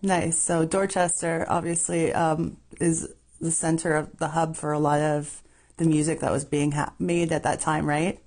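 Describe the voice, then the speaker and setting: A woman's voice: deep voice, level tone, normal speed, a little mellow. A woman hosting a radio show, introducing and interviewing a guest in an upbeat way, stressing names as she goes. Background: music playing.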